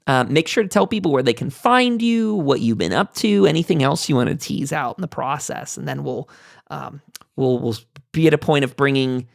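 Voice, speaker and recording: A man's voice: medium pitch, animated, moderate pace, gravelly, no room sound, clean studio sound. A man hosting a casual roundtable chat among friends, speaking informally to his guests. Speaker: host of a panel show.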